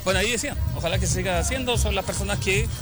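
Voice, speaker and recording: A man's voice: high voice, very fast. A Chilean man reporting live and chatting with visitors at an outdoor food festival. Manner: friendly, chatty roving reporter. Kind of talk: on-the-street interviews. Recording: loud live music and crowd noise. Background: loud music and crowd.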